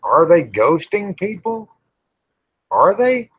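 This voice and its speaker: A man rambles casually to livestream viewers in a folksy, grumbling way, pausing often. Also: high-pitched, sing-song, slightly rough, no room sound. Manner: folksy, grumbling, drawn-out with pauses. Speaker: livestream host. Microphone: small microphone near the face. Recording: dull, muffled livestream audio.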